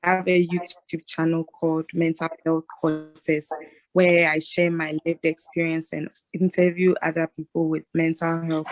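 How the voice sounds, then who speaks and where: Low-voiced woman in her twenties from Ghana. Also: no echo, low-quality recording. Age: 20s. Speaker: guest, mental health advocate. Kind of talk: casual interview conversation.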